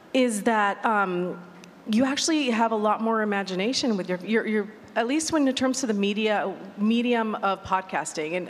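A woman on a live panel, explaining her creative craft in a conversational way. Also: mid-range voice. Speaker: audio fiction creator on a panel. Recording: echoey hall, clear ambient noise.

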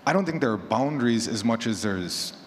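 A man's voice: mid-range voice, lively intonation, brisk. A man giving his opinions as a panelist at a live discussion, repeating phrases for emphasis. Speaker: panelist, horror fiction podcaster. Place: large conference room with audience. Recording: echoey hall with background noise.

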